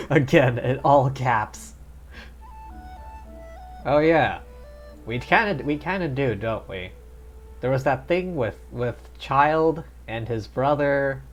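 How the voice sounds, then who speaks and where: A man's voice: medium pitch, expressive, slow pace, pauses often. A man streaming a video game live, chatting casually and reacting aloud with laughter. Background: faint game music.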